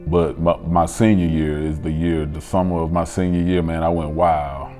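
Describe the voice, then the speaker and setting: Deep mellow guy, laid back and frank, music in the back. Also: moderate pace. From Cartersville, Georgia. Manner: relaxed, frank storytelling. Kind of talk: personal recovery testimonial. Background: soft music.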